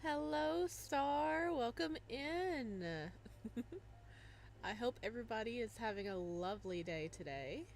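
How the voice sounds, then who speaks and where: A bubbly young woman chats to her stream viewers at an unhurried pace, giggling often and greeting each one by name. A faint hiss sits under her desk microphone. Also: a little high, lively intonation, vocal fry. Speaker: streamer playing a visual novel. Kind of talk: casual live stream chat.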